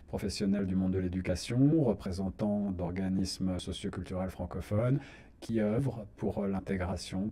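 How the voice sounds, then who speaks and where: Brief: male radio host, low and mellow voice, formal and measured, pauses to find his words. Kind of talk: radio talk show hosting. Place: radio studio.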